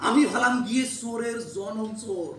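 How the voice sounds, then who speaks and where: A man's voice: very high voice, steady tone, normal speed. A man playing a boastful master thief in a stage play, with a Khulna Bengali accent. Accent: Khulna regional Bengali. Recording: distant echoey stage, faint noise.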